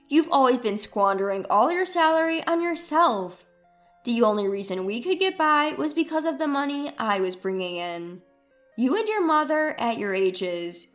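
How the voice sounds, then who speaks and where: A woman's voice: high voice, very animated, unhurried, fairly bright tone, faint music behind, heavily acted. A woman playing a scornful, newly independent ex-wife in a story, mocking and cutting. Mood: smug. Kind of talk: dramatized storytelling dialogue.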